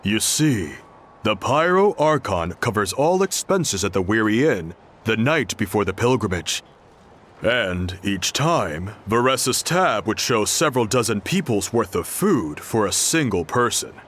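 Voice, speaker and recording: A man's voice: fairly low pitch, very animated, measured pace, fairly bright tone, slight background noise. An actor playing a jovial man hosting an eating contest in a video game, with a hearty storytelling manner. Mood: amused, explaining.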